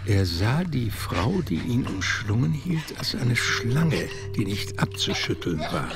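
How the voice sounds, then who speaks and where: An actor playing a grave literary narrator in a radio drama, telling a dark thriller with deliberate, weighty phrasing. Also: male voice, on the low side, sing-song, music in the background, exaggerated voice. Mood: ominous. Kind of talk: scripted narration.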